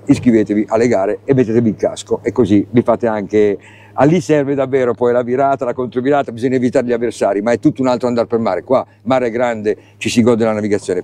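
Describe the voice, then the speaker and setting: A man's voice: medium pitch, dark tone. A middle-aged man presenting a boat test at sea, talking casually and enthusiastically straight to the viewers. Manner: chatty, enthusiastic, addresses viewers directly. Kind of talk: casual on-board boat review. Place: open motorboat at sea, outdoors.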